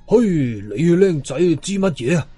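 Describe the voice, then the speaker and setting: A man doing a jumpy, high-pitched village uncle. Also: normal speed, slightly rough, faint music behind. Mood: grumbling. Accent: Hong Kong Cantonese. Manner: excitable, easily startled.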